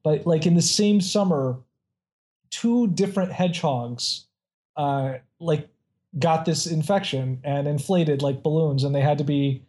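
A man's voice: fairly high pitch, measured pace, darkish tone. A man casually chatting and reading out odd facts, rambling with many restarts and frequent laughs.

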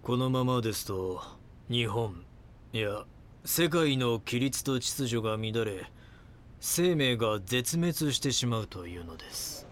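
An actor playing a calm, grandiose cult founder in an audio drama, speaking politely and preachily with deliberate phrasing. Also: male voice, mid-range voice, slight background noise. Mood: calm, solemn.

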